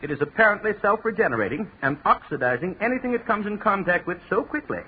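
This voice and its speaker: A man's voice: sits high, big pitch swings, quick pace, a little hiss or hum. A man playing an earnest, formal science professor in a 1940s radio drama, on a tinny old recording. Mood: insistent. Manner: formal, declamatory.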